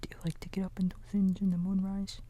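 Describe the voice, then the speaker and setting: A man playing a kindly raccoon babysitter in a bedtime ASMR roleplay, whispering softly and gently reassuring a small child in a folksy, halting way. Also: high-pitched, completely flat delivery. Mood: soothing, playful. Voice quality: soft, breathy whisper. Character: kindly big-brotherly male raccoon babysitter.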